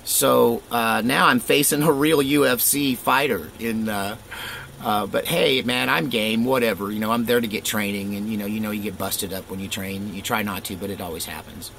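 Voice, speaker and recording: A middle-aged man with a low voice tells a personal story in a loose, rambling way, stopping for fillers and small chuckles. A faint hiss of background noise sits under his voice. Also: fairly even intonation, moderate pace, creaky, a bit bright.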